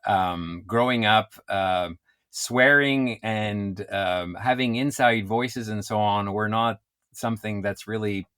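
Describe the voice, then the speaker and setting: A man from Quebec, a comedian, chatting casually as an interview guest, animated and quick to laugh. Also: on the low side, clear, resonant tone. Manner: animated, laughs often, self-described loud voice.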